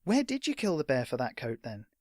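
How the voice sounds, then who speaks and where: A man's voice: medium pitch, wildly swooping pitch, a little fast, a little mellow, no echo, clean studio sound. A man voicing an eager but timid young boy who speaks hesitantly and politely.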